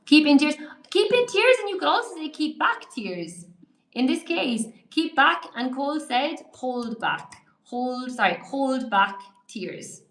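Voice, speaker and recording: A woman's voice: medium pitch, fairly bright tone. A woman teaching English on a live stream, reading out and answering viewers' comments in an encouraging, chatty way. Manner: chatty, encouraging, reacts to chat while typing. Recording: echoey room.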